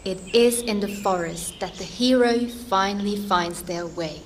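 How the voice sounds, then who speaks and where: A woman's voice: mid-range voice, measured pace, slight background noise, natural voice. A woman playing an earnest, dramatic storyteller who narrates a fairytale audio drama and is easily irritated. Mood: evocative. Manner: dramatic, evocative.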